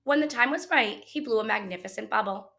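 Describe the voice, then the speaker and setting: A woman with a fairly high, very bright voice reads a picture book aloud for children. She tells the story expressively, in the manner of a schoolteacher, shaping the lines for young listeners. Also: fairly quick. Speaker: teacher reading a book aloud.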